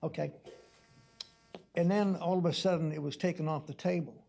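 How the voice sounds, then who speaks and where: Halting, stuttering man, gravelly high-set voice. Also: fairly even intonation, dark tone, soft background music. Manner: halting, repetitive, blunt and argumentative. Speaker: council member. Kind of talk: confrontational questioning at a public council meeting. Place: council meeting room. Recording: noisy public meeting room recording.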